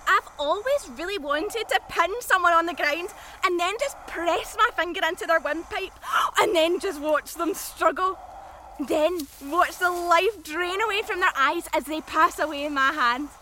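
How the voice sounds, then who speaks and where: A woman's voice: extremely high pitch, moderate pace, sharp tone, a little hiss or hum. A woman acting in a horror radio play as an unhinged fifteen-year-old girl who is mocking, volatile and menacing. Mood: morbid, gloating.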